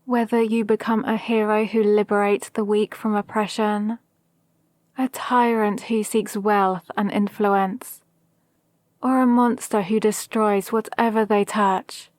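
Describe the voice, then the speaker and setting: Woman acting a slow-spoken scheming villain mentor. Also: medium pitch, fairly even intonation, darkish tone, no echo, studio quality, lightly acted. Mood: calm, calculating. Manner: measured, deliberate, formal. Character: scheming, power-hungry female villain mentor, gentle yet menacing. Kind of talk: scripted ASMR roleplay monologue.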